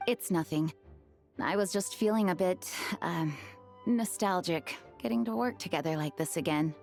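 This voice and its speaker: A woman's voice: lowish voice, normal speed, creaky, darkish tone, faint music behind. A woman voicing an earnest, dutiful female knight commander who speaks in a formal, composed way in video game dialogue. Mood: bashful.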